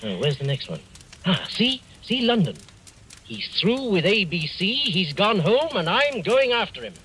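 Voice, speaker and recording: Breezy, high-pitched upper-class gentleman, animated. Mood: grave, determined. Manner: hearty, assured, brisk, patronizing. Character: breezy, confident upper-class gentleman, jovial and smoothly commanding. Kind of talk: old-time radio drama acting. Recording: noisy old radio recording.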